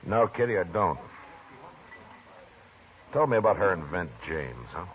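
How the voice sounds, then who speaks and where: An actor playing a calm, firm frontier lawman in a 1950s radio drama, measured and deliberate. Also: low voice. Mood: steady. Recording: tinny old radio broadcast with hiss.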